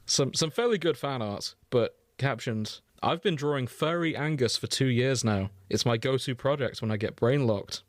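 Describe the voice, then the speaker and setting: A man's voice: medium pitch, normal speed, hardly any pauses, no echo, clean studio sound. A man co-hosting a podcast in casual chat, wandering off on tangents as he talks. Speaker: podcast co-host.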